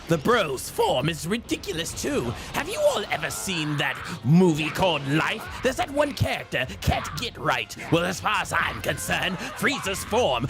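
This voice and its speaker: A man's voice: sits high, exaggerated voice. A man voicing a mocking, gloating alien parasite villain, taunting theatrically as he comments on a fighting game. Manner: taunting, theatrical, drawn-out, cackling. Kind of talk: in-character live game commentary and trash talk. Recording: game music loud behind the voice.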